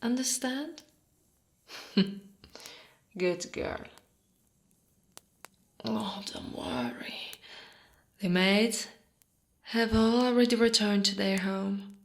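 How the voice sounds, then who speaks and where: A woman from Italy voicing a short female orc guard in intimate ASMR roleplay, gently dominant and doting, soft and breathy with slips into whispering. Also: medium pitch, drawn-out words, pauses often. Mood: flirty, coaxing. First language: Italian.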